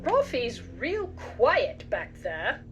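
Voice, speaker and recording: A woman as a high, swooping protective rescuer. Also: huge pitch swings, very slow, muffled recording, slight background noise, exaggerated voice. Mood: urgent. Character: protective, teasing woman rescuing an animal friend. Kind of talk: amateur radio play acting.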